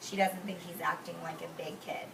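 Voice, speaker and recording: A woman preschool teacher reading aloud expressively to young children, explaining words simply and giving gentle classroom directions. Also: on the low side, little pitch movement, brisk, gravelly. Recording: roomy, distant microphone, faint noise.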